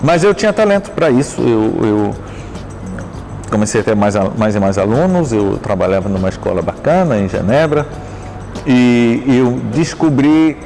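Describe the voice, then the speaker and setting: A middle-aged man, a dance teacher, telling his life story in an interview in a thoughtful, halting way with frequent restarts and self-corrections. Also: medium pitch, animated, warm tone, faint music behind, low-quality recording.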